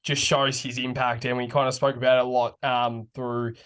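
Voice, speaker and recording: A young Australian bloke hosting a footy chat podcast. His voice is slightly croaky from yelling, and he talks in a loose, casual way full of ums and the odd swear word. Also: medium pitch, normal speed. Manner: relaxed, swears casually, many fillers. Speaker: podcast co-host.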